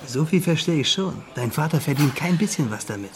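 An actor playing a streetwise, bossy young petty crook in a German radio crime drama, talking in brusque, needling slang. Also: male voice, a little high, animated, brisk, a little hiss or hum, lightly acted. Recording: music behind the voice.

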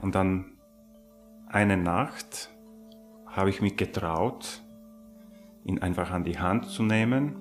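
A man recalling his personal life in a documentary interview. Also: deep voice.

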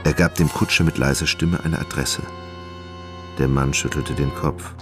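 A man narrating a literary radio drama in a measured, dramatic storytelling manner, in German studio style. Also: a bass voice, steady tone. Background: plucked guitar music.

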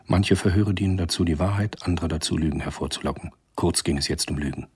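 An actor as a grave, detached storyteller, giving measured literary narration in a radio drama. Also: male voice. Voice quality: very deep, very husky. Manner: measured, understated.

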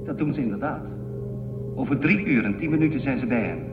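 A man playing a calm, factual ship's intelligence who reports formally in a science fiction radio play. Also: mid-range voice, fast, close to an everyday voice. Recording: echoey phone-like audio over music.

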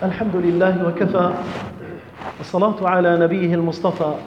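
Male preacher, very high-pitched, impassioned and exhorting, delivering an Islamic sermon in a long-reverb hall. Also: little pitch movement, brisk. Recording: echoey reverberant hall, dull, faint noise.

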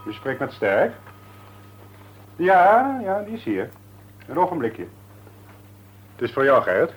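An actor playing a hearty, long-winded husband with plans in an old Dutch radio play. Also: male voice, on the high side, very animated, unhurried, slightly rough, full character voice, low-quality recording. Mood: businesslike. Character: talkative jovial husband with a business plan.

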